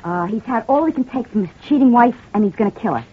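Sly wife in an old radio play, husky lively voice, lo-fi recording. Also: female voice, fairly high pitch, fast talker. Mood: sweet. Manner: coaxing, sly. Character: scheming young wife Helen. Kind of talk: 1940s radio acting. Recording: dull old radio transcription.

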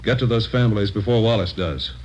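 Man playing chief of detectives, deep, fast, commanding, clipped, vintage radio drama, dull hissy recording. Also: natural voice. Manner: brisk, authoritative, clipped. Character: brisk, gruff male chief of detectives briefing his men. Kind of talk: 1950s radio police drama acting.

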